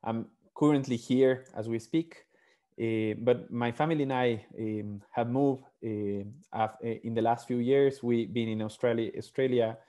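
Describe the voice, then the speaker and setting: A man's voice: medium pitch, steady tone, measured pace, mellow. A man in his thirties from Ecuador, a startup founder talking over a video call with an earphone mic, earnest and fluent with frequent fillers. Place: small room indoors.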